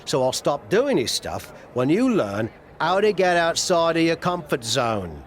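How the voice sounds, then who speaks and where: A man's voice: a little high, sing-song, measured pace. A man playing a wry, blunt older wrestler turned manager in video game cutscene dialogue. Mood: firm, coaxing.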